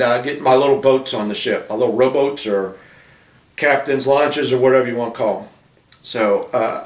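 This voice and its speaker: Rambling model builder in an echoey room. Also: male voice, mid-range voice, recorded from a distance. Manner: rambling, many fillers and restarts. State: losing his voice, coughing. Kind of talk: casual talk to camera. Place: small apartment room.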